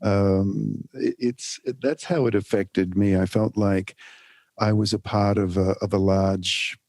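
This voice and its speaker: A middle-aged man from the United States, a family counselor, speaking thoughtfully in a podcast interview with many pauses and restarts. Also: on the low side, fairly even intonation, darkish tone, no room sound.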